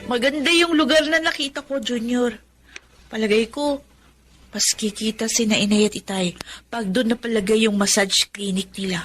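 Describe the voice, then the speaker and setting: An actress playing a caring, dutiful young Filipina daughter in a radio drama, sweet and earnest. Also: female voice, fairly high pitch, normal speed, soft background music, lightly acted. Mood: hopeful, proud.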